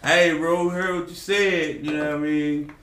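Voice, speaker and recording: A man co-hosting a podcast and running its sound, talking loud with slangy jokes and animated delivery. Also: a little high, moderate pace, echoey room, music in the background. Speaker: podcast co-host and sound engineer. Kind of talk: casual chat. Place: home living room, indoors.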